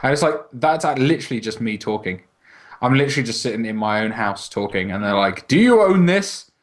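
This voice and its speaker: A man's voice: mid-range voice, lively intonation, fairly quick. A man chatting casually as a podcast co-host, rambling and exasperated with plenty of swearing. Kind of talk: casual group podcast chat. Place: at home, online voice call.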